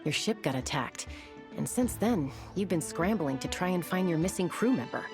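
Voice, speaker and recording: A woman's voice: on the low side, lively intonation, moderate pace, music in the background, natural voice. A woman playing a confident, welcoming young tribeswoman in a video game, speaking in a reassuring, decisive way.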